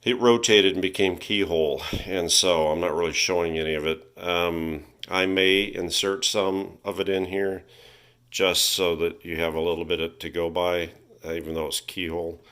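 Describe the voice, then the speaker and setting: A man casually walking through a repair at his home workbench, thinking aloud with frequent pauses and ums, recorded on a phone on a tripod. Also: deep voice, fairly even intonation, fairly bright tone, clean tone. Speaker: vintage electronics repair host. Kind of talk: casual workshop walkthrough. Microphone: phone camera on a tripod.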